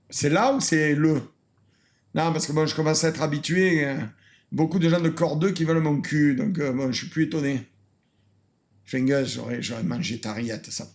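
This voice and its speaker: A man's voice: on the high side, a bit bright. A French man streaming a reaction video in a casual, mocking way, laughing often and repeating lines.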